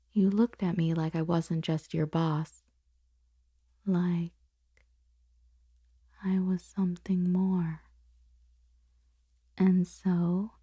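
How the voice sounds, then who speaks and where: A woman playing a conflicted boss confessing forbidden desire in an intimate, breathy, whispered ASMR roleplay monologue. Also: on the low side, no echo, lo-fi sound. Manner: slow, intimate, halting delivery.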